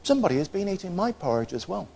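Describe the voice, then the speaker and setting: A gentle storybook mother bear, voiced by a man, speaks quietly and gracefully. The voice is soft and slightly husky, with mild dismay. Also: high-pitched, big pitch swings, unhurried, pitched above the performer's own voice, exaggerated voice.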